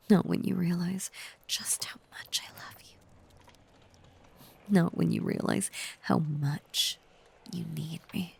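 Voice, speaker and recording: Female actor as obsessive monster-girl werewolf for ASMR roleplay; breathy, low, creaky voice, tender yet controlling. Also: full character voice. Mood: obsessive, threatening. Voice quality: breathy, whispery. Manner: slow, breathy, coaxing, softly menacing. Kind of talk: intimate whispered ASMR roleplay.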